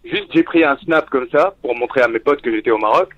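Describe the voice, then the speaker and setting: A man from Tunisia, a native Arabic speaker, calling in to a live talk stream and talking earnestly with frequent restarts. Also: medium pitch. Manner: earnest, halting with many restarts. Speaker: caller. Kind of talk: call-in talk. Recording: dull lo-fi phone call audio.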